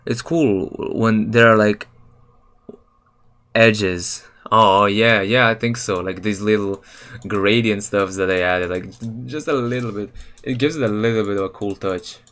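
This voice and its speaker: A young man from Serbia chatting casually on a live stream while he builds a game level, rambling in a relaxed, slangy way with lots of fillers and self-corrections. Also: on the low side, takes it slowly, pauses often. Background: keyboard and mouse clicks.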